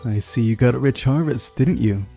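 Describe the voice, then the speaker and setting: A man voicing a brisk, bossy, sly female camp leader in a visual novel, in a mellow voice. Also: mid-range voice, vocal fry, soft background music, natural voice. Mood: teasing.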